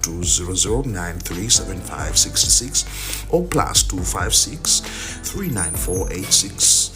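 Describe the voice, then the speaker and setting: Male ad voice, very low and lively, music underneath. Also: slow pace. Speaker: announcer. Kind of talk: advertisement read.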